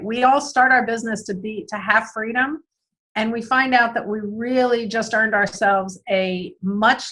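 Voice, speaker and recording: A woman guest who is losing her voice, answering interview questions earnestly with frequent "um" pauses. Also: medium pitch, pushed and bright, poor audio. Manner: earnest, many "um" fillers and repeated words. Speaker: guest speaker on business systems.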